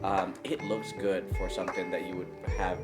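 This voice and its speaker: A man's voice: sits low, steady tone, moderate pace, loud music behind the voice. A young man hosting a casual food chat, easygoing and rambling, laughing often. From Filipino-American. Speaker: host.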